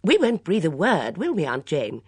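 A woman narrator plays a chatty younger married woman in a mystery storybook. She is eager, open and emphatic, with swooping, animated pitch and a slightly rough edge. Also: moderate pace, dry recording, full character voice.

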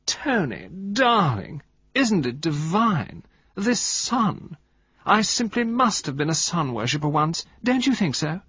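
A man voicing a vain, seductive woman with a high, affected drawl, in a storybook narration. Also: big pitch swings, takes it slowly, pushed and bright, husky, dry recording, muffled recording, exaggerated voice. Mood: languid gushing. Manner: affected, theatrical, raised voice.

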